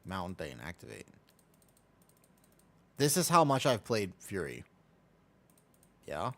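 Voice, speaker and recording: A man's voice: deep voice, big pitch swings, slow, fairly bright tone. An American man streaming a game, chatting casually and rambling as he answers chat and laughs easily. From United States.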